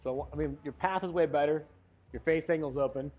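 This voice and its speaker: A man's voice: fairly high pitch, a little fast, dull audio, faint music behind. A man coaching a golf lesson, giving short cues and encouragement as the student swings. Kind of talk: hands-on golf lesson instruction.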